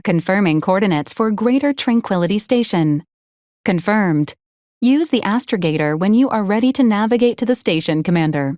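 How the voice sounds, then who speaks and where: A woman voicing a deadpan robot combat assistant, very dry sound. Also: mid-range voice, no echo, close to an everyday voice. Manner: formal, clipped, clinical, deadpan. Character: female robot combat assistant, dutiful, coldly polite, bluntly violent. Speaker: robotic companion to a commander. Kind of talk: scripted video game character lines.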